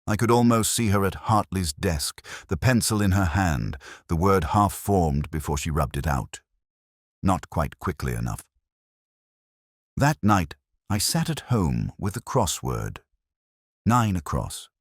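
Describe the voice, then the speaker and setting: A man reading a scripted first-person detective story in a measured, reflective, literary manner. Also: sits low, big pitch swings, no echo, studio quality. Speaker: narrator.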